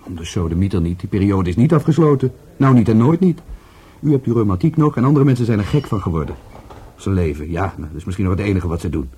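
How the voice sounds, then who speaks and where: Casting: a knowing, stubborn witness, dark rough-edged voice, blunt and sardonic radio play delivery. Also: male voice, fairly low pitch, animated, fast. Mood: bitter, defiant.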